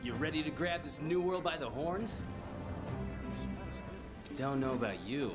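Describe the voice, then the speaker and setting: An eager young game adventurer, friendly and brash. The pitch sits fairly high and swings widely, with excitable delivery over loud music. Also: male voice, unhurried. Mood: chummy, upbeat. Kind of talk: animated game cutscene dialogue.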